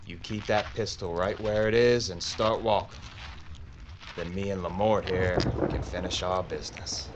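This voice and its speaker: A cool, mocking gunfighter voiced by a man with a lowish voice. He taunts his enemy in a calm, unhurried drawl and never raises his voice. Faint noise sits under the recording. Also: lightly acted. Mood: calm, commanding. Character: cool, taunting male Old West gunfighter.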